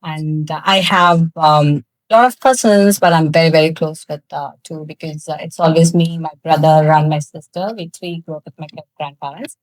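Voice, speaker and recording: A woman in her thirties from South India, speaking with a fairly low voice. She tells her story frankly, stopping often on fillers. The sound is close and dry. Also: steady tone, no echo, rough recording. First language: Tamil. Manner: candid, laughs often, pauses with many fillers. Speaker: guest, cosmetics founder. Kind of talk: personal storytelling in a podcast interview.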